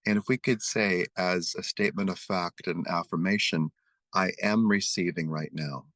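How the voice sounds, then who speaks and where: Deep-voiced guy leading a calm prayer and meditation, slow and soothing, dry sound. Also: no room sound. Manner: slow, soothing, leads spoken affirmations. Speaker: spiritual meditation leader.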